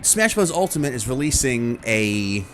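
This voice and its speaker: A man's voice: medium pitch, big pitch swings, moderate pace, faint music behind. A man hosting a video, explaining things casually and conversationally, thinking aloud and often checking in with the listener. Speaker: video host. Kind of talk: casual explanatory talk to viewers about gaming gear.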